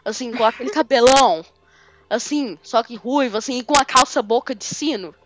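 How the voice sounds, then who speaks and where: A woman's voice: on the high side, moderate pace, noisy background. A young woman from Belo Horizonte with a Mineiro accent, chatting casually and giggling as a fan podcast co-host. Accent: Mineiro Brazilian Portuguese. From Belo Horizonte, Brazil. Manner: chatty, giggly, rambling with fillers. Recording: muffled lo-fi audio with faint music.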